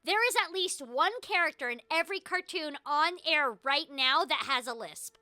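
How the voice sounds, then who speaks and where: A woman's voice: sits high, expressive, moderate pace, sharp tone, no echo. A woman voice actor hosting a casual stream, chatting loosely with frequent restarts and a noticeable glottal stop, on a headset mic at home.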